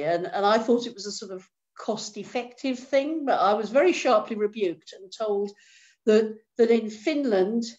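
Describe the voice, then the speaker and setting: A woman's voice: mid-range voice, a little mellow. Woman speaking earnestly over a muffled call. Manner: earnest, restarts words often. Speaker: guest speaker, professor. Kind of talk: rally talk over video call. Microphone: video call.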